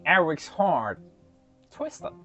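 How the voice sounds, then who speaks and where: A storyteller narrating a folktale in an expressive, dramatic way, with deliberate pauses. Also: mid-range voice. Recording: slightly muffled, soft music underneath.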